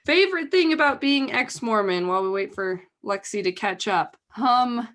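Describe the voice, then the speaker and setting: Young woman host, loud and giggly, chatty, slightly high voice. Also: animated, normal speed. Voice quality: naturally loud voice. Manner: chatty, giggly, many fillers. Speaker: livestream host. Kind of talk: casual livestream chat.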